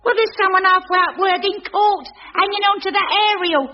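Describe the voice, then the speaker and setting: An actress playing a morbid, matter-of-fact working-class London woman in a radio sitcom, with a deadpan manner. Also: very high-pitched, a little fast, a crowd in the background. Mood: nosy, chatty. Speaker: comic actress. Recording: dull, noisy old radio recording, audience behind.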